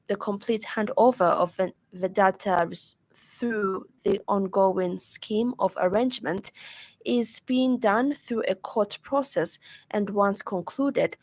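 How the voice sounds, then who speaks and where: Female radio news reader, Zambian accent, medium pitch, formal and measured scripted delivery. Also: no room sound. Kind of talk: scripted news bulletin reading.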